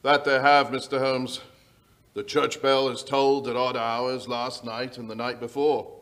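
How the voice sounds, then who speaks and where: Male actor as anxious country squire for radio play, medium pitch, earnest, formal, slightly flustered. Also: little pitch movement, a little slow, fairly bright tone, close to an everyday voice. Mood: worried. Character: worried English country baronet, lord of the manor. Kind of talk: old-time radio drama acting. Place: small indoor stage before an audience. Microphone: vintage-style stand microphone.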